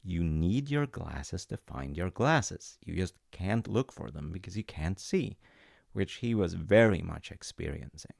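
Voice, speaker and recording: A man with a very deep, gravelly voice talks slowly and gently, like a dad telling a comforting story with self-mocking asides. The sound is dry and close to the mic. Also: lively intonation, dry recording. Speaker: audio roleplay storyteller playing a dad.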